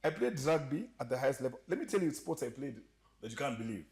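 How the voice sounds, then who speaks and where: Lively joking man with slight Ugandan accent. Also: medium pitch, fast talker, gravelly. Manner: animated, joking, laughs often. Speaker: podcast guest. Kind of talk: storytelling interview chat.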